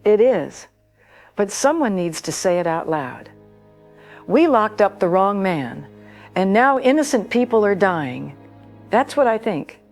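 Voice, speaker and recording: An actress playing a worried older woman who calls a radio show, speaking earnestly and gravely. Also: medium pitch, big pitch swings, measured pace, a little mellow, faint music behind. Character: worried older woman radio caller, long-time local. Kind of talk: audio drama acting.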